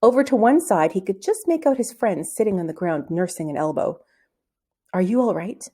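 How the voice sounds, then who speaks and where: Woman, storybook reader, expressive narration, mid-pitched, animated intonation. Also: fairly quick, breathy edge. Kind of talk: expressive read-aloud narration of a children's novel.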